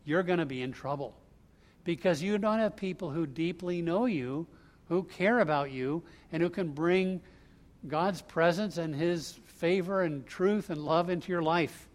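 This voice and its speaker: A man's voice: a little high, a little slow. A man preaching an expository sermon, explaining scripture line by line in a conversational way. He grew up in the US Northeast.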